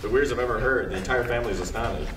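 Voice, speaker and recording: A man's voice: medium pitch, rapid-fire, far from the mic. A man reading stage directions aloud at a table read, plain and matter-of-fact. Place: meeting room, indoors. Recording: echoey noisy room, off-mic.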